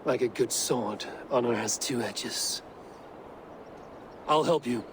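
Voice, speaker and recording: An actor playing a stoic, steadfast Viking raider hero in a video game, speaking in a measured, plain, firm way. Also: male voice, medium pitch, natural voice. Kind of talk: dramatic video game cutscene acting. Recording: game audio with faint background noise.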